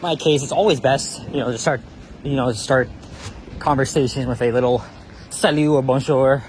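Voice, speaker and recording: A man's voice: mid-range voice, steady tone, normal speed, low-quality recording. A man chatting casually while walking a city street, rambling and restarting his phrases as he talks. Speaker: walking tour vlogger. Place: busy city street outdoors. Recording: street traffic noise behind.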